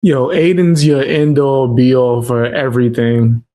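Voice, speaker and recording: A man's voice: mid-range voice, flat delivery, unhurried, mellow. A man giving casual reaction commentary in a slangy, ribbing, incredulous way.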